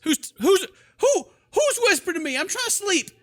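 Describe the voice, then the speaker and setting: A man's voice: very high voice, big pitch swings, normal speed, a bit bright, no room sound, studio quality. A man hosting a let's play, reading game text aloud in a playful mock-dramatic way with jokey asides. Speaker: gaming video host.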